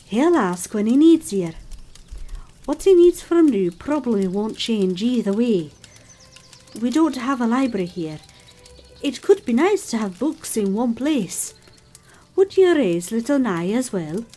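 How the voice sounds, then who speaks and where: Otherworldly female fate-weaver, voiced by a woman; dark soft tone, calm, wistful, measured, faint music underneath. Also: a little high. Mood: calm, practical. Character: calm, wistful female fate spirit, curious about mortals. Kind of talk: scripted audio drama dialogue.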